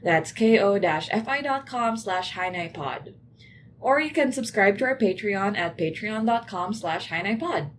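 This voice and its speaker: A woman's voice: medium pitch, lively intonation, a little slow, slight background noise. A woman podcast producer delivering scripted outro announcements to listeners.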